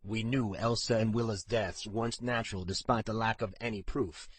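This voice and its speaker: A man voicing a brooding older man in an audiobook, telling of a painful past in a somber, measured way. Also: on the low side, steady tone, a little hiss or hum, close to an everyday voice. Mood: somber, regretful. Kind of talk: audiobook character acting.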